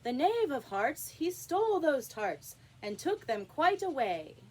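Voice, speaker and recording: Fussy rabbit court herald for a storybook, very high and declamatory , played by a woman. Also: big pitch swings, measured pace, a little mellow, rough recording. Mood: declaiming.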